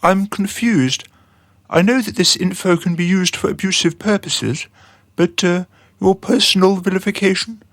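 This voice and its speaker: A man acts a deferential young underling in a satirical radio drama. His voice sits high and is recorded dry. He reports formally and earnestly, eager to obey. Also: fairly even intonation, normal speed, a bit bright, dry recording. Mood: apologetic.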